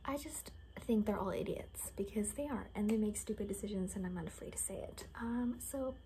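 Soft, mellow young woman whispering ASMR. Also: mid-range voice, fairly quick. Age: young adult. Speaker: ASMR creator playing a caretaker. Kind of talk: ASMR roleplay, casual improvised chat. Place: small home bedroom, indoors. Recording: faint background room noise.

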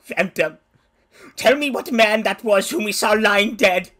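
A man's voice: sits high, measured pace, pushed and bright, a little hiss or hum. A man playing a repentant old miser in a radio drama, theatrical and exclamatory in his pleas and vows. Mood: fearful pleading.

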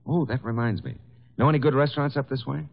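A man's voice: medium pitch, fast talker, creaky, lightly acted. An actor playing a breezy, wisecracking detective's assistant and ladies' man in an old radio drama, with slangy talk. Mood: breezy, flirtatious. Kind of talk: 1950s radio drama acting. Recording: dull old radio broadcast recording.

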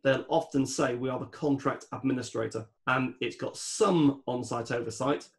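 Man with a creaky voice, explaining methodically. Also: medium pitch, normal speed. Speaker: guest expert on home renovation project management. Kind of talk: explanatory podcast talk.

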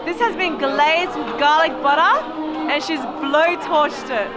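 A woman's voice: high-pitched, unhurried. A woman in her thirties from Australia, describing street food in a casual vlog at a night market. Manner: describes food in detail, savoring bites. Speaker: food vlogger host. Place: busy night market, outdoors. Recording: loud crowd and music behind. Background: loud crowd and music.

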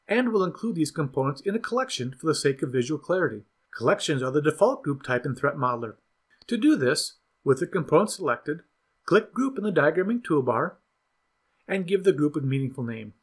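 Husky man narrating a software tutorial. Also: a little high, big pitch swings, a little fast. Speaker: product demo narrator. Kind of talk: scripted software tutorial voice-over.

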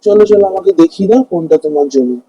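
A man plays a kindly poor farmer in a cartoon folk tale. His voice is high for a man, soft-edged and rough with huskiness. He talks earnestly and plaintively, in a dry, close sound. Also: expressive, rapid-fire, dry recording, dull audio. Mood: caring, reassuring. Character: kind, hard-working poor village farmer, male. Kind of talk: Bengali animated fairy-tale dialogue.

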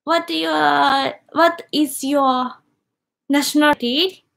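High, slow-talking Japanese woman streaming. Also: steady tone, creaky, fairly bright tone. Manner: cheerful, reads viewer comments aloud and reacts with exclamations. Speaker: YouTuber. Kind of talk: live stream chat reading comments. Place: small indoor room with wooden cabinets.

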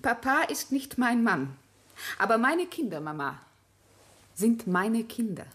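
Protective mother, radio drama: higher voice, deliberate, emotional, reproachful. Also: big pitch swings, fairly bright tone. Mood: hurt, resolute. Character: resolute grown daughter and mother, protective, reproachful. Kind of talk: German radio drama acting.

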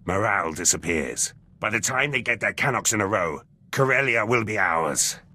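Deep, husky, gruff soldier. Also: male voice, expressive, moderate pace, bright, forceful tone, full character voice. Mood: eager, determined. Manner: clipped, terse sentences. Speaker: soldier companion character. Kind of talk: video game dialogue acting. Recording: music under the voice.

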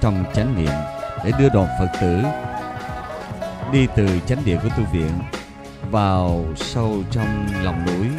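A man with a very low voice reads a scripted documentary narration. He speaks in a measured, reverent tone, and loud music plays under his words.

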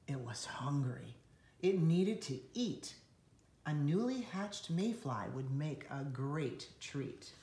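A woman reading a picture book aloud expressively, with dramatic hushed passages and emphatic rises, recorded indoors by a camera. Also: on the low side. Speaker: children's author reading aloud. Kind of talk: expressive storybook read-aloud. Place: indoors, seated on a sofa in a room.

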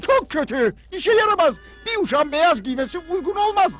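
A prim cartoon butler with an extremely high voice and swooping intonation. He is formal, fussy and easily flustered, with clear music playing behind him. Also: sing-song, brisk, a bit bright, heavily acted. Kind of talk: dubbed cartoon acting.

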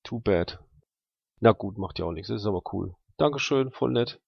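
A man improvising casual, slangy in-character dialogue as a tabletop role-player, haltingly thinking aloud. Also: lowish voice, lively intonation, gravelly, slightly rough, no echo. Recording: dry, slightly dull sound.

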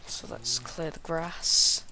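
Slow, gravelly man with a cold. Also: a little high, bright, forceful tone, slight background noise. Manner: slow, mumbling, talks to the animals. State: croaky with a cold. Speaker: gaming video creator. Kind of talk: casual gameplay commentary.

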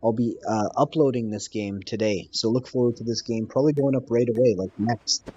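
A man from Canada, a gaming YouTuber, chatting casually and upbeat with an online opponent, full of slang and repeating himself slowly. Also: medium pitch, steady tone, a little mellow, dull audio, slight background noise.

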